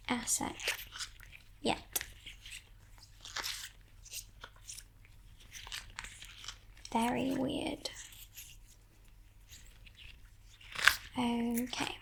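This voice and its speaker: A woman voicing a teasing, possessive female demon captor in a breathy, mock-polite whisper, as ASMR roleplay. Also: a little high, slight background noise. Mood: possessive, amused. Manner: slow, hushed, mock-polite, condescending.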